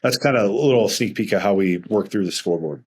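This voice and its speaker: A man's voice: lowish voice, animated, quick pace, breathy edge. A middle-aged man speaking as a financial advisor in a casual interview, earnest and rambling with frequent restarts.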